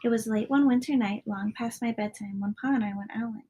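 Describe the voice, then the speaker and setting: A woman reading a children's story aloud as a narrator, sometimes dropping into a hushed tone at the ends of lines. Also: on the high side, a little fast, background noise. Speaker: storybook reader.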